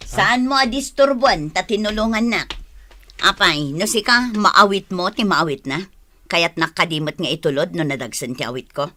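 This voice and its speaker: A woman playing an older, motherly but sharp-tongued villager in a radio drama, with an Ilocano accent. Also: lowish voice, normal speed. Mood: scolding.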